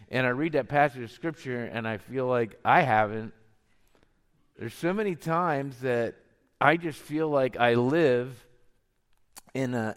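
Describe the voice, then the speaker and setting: A middle-aged male pastor speaks to his congregation with a voice thickened and deepened by a cold. He talks in a relaxed, storytelling way at a mid pitch. The sound carries a long reverb from a church hall. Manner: conversational storytelling preacher, chuckles often. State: has a cold, deeper voice than usual. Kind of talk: sermon.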